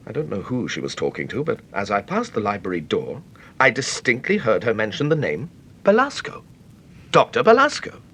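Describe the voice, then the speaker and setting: Polite British widower, bright tense voice, hesitant, radio mystery, noisy old recording. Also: male voice, medium pitch.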